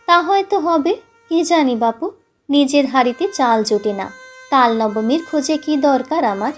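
Lady doing tired poor village mum, a bit brusque, high pitch, storybook w music. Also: animated, moderate pace. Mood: weary, dismissive. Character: weary, brusque village mother of a poor boy. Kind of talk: audiobook character voice. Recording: music under the voice.

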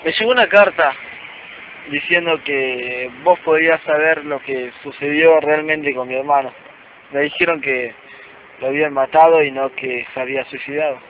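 Amateur actor as suspicious Argentine man, higher-pitched voice. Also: moderate pace, close to an everyday voice. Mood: insistent. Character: Elías, young man seeking truth about his brother, suspicious. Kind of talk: amateur detective radio play acting. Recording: noisy, muffled amateur radio drama recording.

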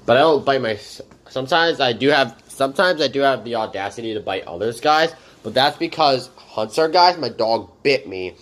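A man's voice: medium pitch, lively intonation, normal speed, few pauses, a bit bright. Rambling man saying "guys" nonstop. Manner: rambling, says "guys" constantly. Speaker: reaction channel host. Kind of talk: casual reaction commentary.

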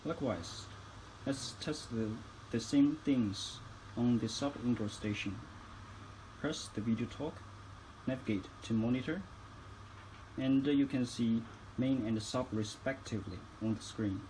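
A man's voice: mid-range voice, measured pace, darkish tone, rough recording. Man narrating a tutorial over background noise. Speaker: product demonstrator.

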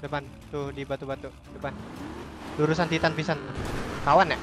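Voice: mid-range voice, flat delivery, a little fast. A player chatting casually during an online game. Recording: noisy game voice chat.

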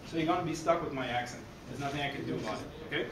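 A man in his forties from Quebec City with a Québécois French accent, giving an energetic, chatty conference talk to coaches and often checking in with "okay?". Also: mid-range voice, rapid-fire, distant mic. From Quebec City, Canada. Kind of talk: conference presentation. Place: dark conference hall, speaking in front of a screen. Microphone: room recording from a far camera. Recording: echoey hall, heard from afar, noisy.

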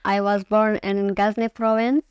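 A woman's voice: mid-range voice, little pitch movement, measured pace, darkish tone, clear, resonant tone, dry recording, highs cut off. A woman from Afghanistan introducing herself in in a documentary interview. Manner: plain self-introduction in non-native English.

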